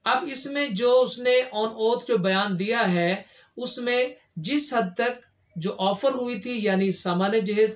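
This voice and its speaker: Male legal presenter, Pakistani accent, informal explanatory lecture delivery, restates key points. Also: high voice.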